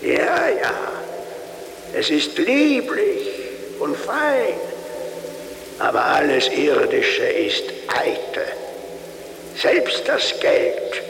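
A man's voice: fairly high pitch, huge pitch swings, very slow, creaky, long pauses, a bit bright, exaggerated voice. A man playing a drunken journeyman giving a crude, pompous mock sermon in a radio play. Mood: mock solemn. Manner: pompous, declamatory. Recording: cavernous echo with long reverb, faint hiss.

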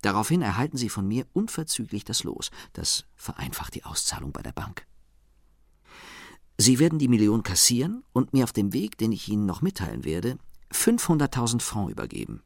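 Radio drama: mocking master thief, suave, lowish, husky, lively pitch, very sure of himself. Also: male voice, moderate pace, dry recording, studio quality, full character voice. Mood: smug, taunting. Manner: smooth-talking, self-assured, taunting.

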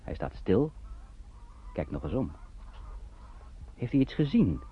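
A man's voice: lowish voice, very animated, takes it slowly, slightly rough. A man narrating a radio play in a dramatic, scripted storytelling style. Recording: muffled, phone-like old radio recording.

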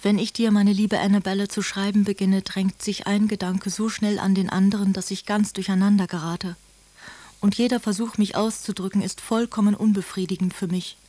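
An anxious, submissive woman played by an actress speaks earnest in a soft, very mellow voice of middle pitch. She sounds imploring, as if confiding a letter in a radio play. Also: little pitch movement, fairly quick, no echo, natural voice. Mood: anxious, grateful. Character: anxious, pleading, submissive mother and devoted penitent friend. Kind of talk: German radio drama, letters read in character.

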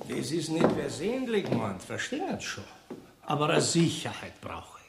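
A man's voice: a little high, wildly swooping pitch, normal speed, gravelly, roomy sound, noisy background, heavily acted. An actor playing a shrewd, stubborn male moneylender in a radio play, wheedling in Bavarian dialect. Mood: wheedling, businesslike.

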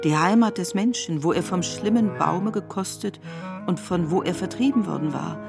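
A woman narrating scripted literary prose for radio in a measured, formal, essay-like manner. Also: fairly low pitch, vocal fry, music in the background.

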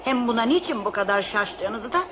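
A woman's voice: fairly high pitch, animated, normal speed, close to an everyday voice. An actress playing a dignified, outspoken old woman in a radio play, formal and indignant. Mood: firm, indignant. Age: older. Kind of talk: Turkish radio theatre acting. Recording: noisy radio drama recording.

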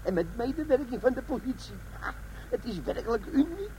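An actor playing a self-important, grumbling bomb disposal sergeant with a crooked streak in a comic radio play. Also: male voice, extremely high pitch, big pitch swings, brisk, dark tone, slight background noise, low-quality recording. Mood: gloating. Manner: blustering, self-important, complaining. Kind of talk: comic radio drama acting.

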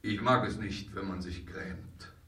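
An actor playing a swaggering, hot-headed marquis in a radio play, proud and blustering. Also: male voice, on the low side, pushed and bright. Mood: haughty. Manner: haughty, blustering, slow. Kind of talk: German radio play acting. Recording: very echoey room, distant mic.

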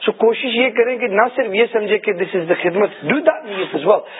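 A husky-voiced man making an insistent, conversational religious appeal, slipping into Urdu phrases. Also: high-pitched, rapid-fire, slight background noise. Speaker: religious talk show host. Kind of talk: persuasive conversational religious talk. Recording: thin phone-like broadcast audio.

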